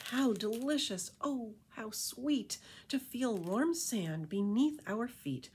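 A middle-aged woman reads a children's picture book aloud as a librarian, with rhythmic, animated storytelling and casual asides. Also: fairly high pitch, slow pace, a little hiss or hum. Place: indoor room with painted wall.

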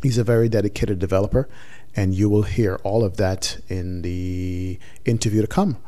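A man hosting a podcast, introducing the episode in a relaxed way with lots of fillers. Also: fairly low pitch, normal speed. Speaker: podcast host.

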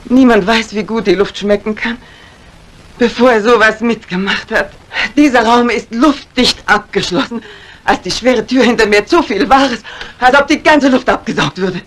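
An older woman acting a shrewd, composed crime writer in a 1950s radio mystery, speaking with deliberate, theatrical phrasing. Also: a little high, close to an everyday voice. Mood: relieved. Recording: vintage radio recording with music.

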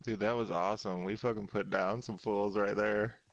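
A man's voice: lowish voice, steady tone, moderate pace, no echo, dull audio. A man chatting casually with his squadmates during an online game, swearing freely in bro slang and calling the plays. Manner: profane, bro-slang, takes the lead. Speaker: podcast member playing a squad shooter. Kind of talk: casual gaming chat with squadmates.

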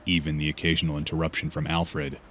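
Man voicing very deep brooding masked vigilante. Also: monotone, fairly bright tone, slight background noise. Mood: grim, analytical. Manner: solemn, measured, terse. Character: brooding masked male vigilante detective, grave and guarded. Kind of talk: comic book fan dub, dramatic dialogue and monologue.

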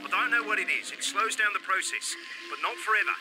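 A man's voice: high voice, brisk, echoey room, far from the mic, over music, lightly acted, poor audio. An actor playing a stern military colonel in a video game, desperate to save his wife. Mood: pleading. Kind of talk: dramatic game cutscene acting.

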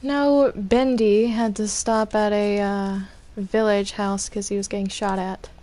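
A woman improvising roleplay while playing a video game, speaking in a hesitant, halting way. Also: mid-range voice, steady tone, dark tone, a little hiss or hum. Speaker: Minecraft roleplay player.

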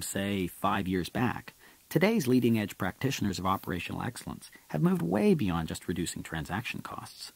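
Male audiobook narrator, scripted explanatory delivery, emphatic, animated intonation, gravelly, close dry sound. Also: on the low side, dry recording. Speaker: author reading own audiobook.

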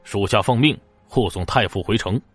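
Woman putting on a deep, gruff male guard. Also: moderate pace, soft background music. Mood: dutiful, steady. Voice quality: gruff, steady. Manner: formal, deferential.

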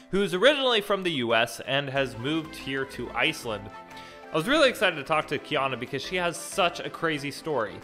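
A man's voice: a little high, bright, forceful tone. Upbeat young man hosting a podcast. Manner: upbeat, enthusiastic intro with fillers. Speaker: podcast host. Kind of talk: casual podcast interview. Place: living room at home. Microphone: studio mic on stand with headphones.

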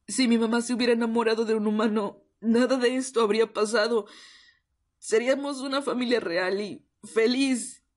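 A breathy, husky young male half-vampire as a performer acts him confides in the listener. He is miserable, tearful and lovesick, in an intimate ASMR roleplay with little swing in pitch. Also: close to an everyday voice. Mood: anguished, despairing. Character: miserable, self-pitying half-vampire young man in love.

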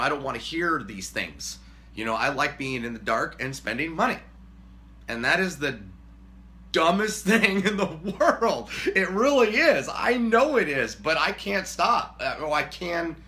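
A man in his forties talks casually from a living room couch, filmed by a camera in front of him. His voice is bright and forceful, booming when he gets worked up, with a faint hum behind. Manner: rambling, self-deprecating, booming when worked up. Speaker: co-host, husband. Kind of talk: casual chat.

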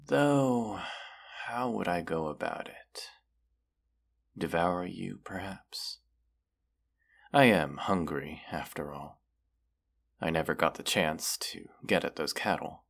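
A man voicing a proud, menacing wounded dragon in a fantasy audio roleplay, speaking in a haughty, deliberate, archaic way. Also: low voice, expressive, vocal fry, heavily acted. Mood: menacing musing.

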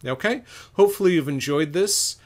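A middle-aged man narrating a step-by-step software tutorial in an informal, explanatory way, thinking aloud as he goes. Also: a little high, lively intonation, normal speed. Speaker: laundry equipment expert, tutorial presenter.

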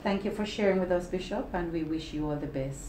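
A woman with a slightly low, soft-toned voice poses polite, formal interview questions. The sound is roomy and echoey. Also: fairly quick. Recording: roomy office echo, faint noise.

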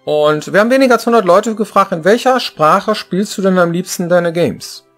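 A man's voice: high-pitched, moderate pace, fairly bright tone, faint music behind. A man co-hosting a casual studio chat, talking in a chatty way and throwing out rhetorical questions. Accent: Ruhr German. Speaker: co-host. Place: home studio with shelves.

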